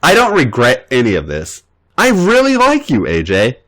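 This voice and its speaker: A man's voice: mid-range voice, wildly swooping pitch, normal speed, a bit bright. Man reading as an eager, earnest young guy, voice swinging up when he gets keen. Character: affectionate, eager young male wrestler.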